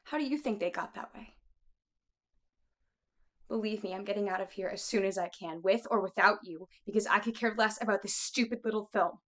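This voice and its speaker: An actress playing a frank, fed-up young makeup artist in a naturalistic film drama. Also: female voice, mid-range voice, brisk, fairly bright tone, natural voice. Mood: exasperated, blunt. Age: 20s. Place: indoors in a wooden cabin.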